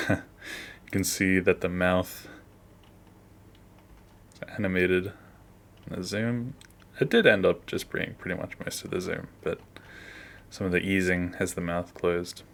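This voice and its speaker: A man's voice: deep voice, steady tone, slow pace, gravelly, pauses often, a bit bright. A man giving a casual behind-the-scenes walkthrough as an animator, offhand and self-deprecating, often trailing off. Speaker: animator, video creator.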